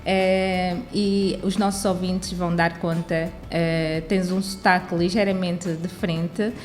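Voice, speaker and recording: A woman's voice: fairly low pitch, flat delivery, slow, soft background music. Chatty young Angolan woman hosting. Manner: chatty, with frequent "eh" fillers. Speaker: podcast host. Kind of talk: podcast interview.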